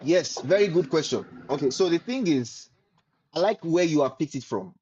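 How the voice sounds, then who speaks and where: A man giving casual, relaxed advice on a live stream and repeating phrases for emphasis. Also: on the high side, moderate pace, highs cut off, a little hiss or hum. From Nigeria. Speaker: host answering research questions.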